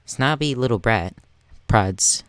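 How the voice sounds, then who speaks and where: A man's voice: medium pitch, lively intonation, slow pace, a bit bright, clean tone, no room sound. Young teenage boy reading aloud, dry close sound. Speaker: video narrator reading online posts. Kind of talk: reading a Reddit story aloud.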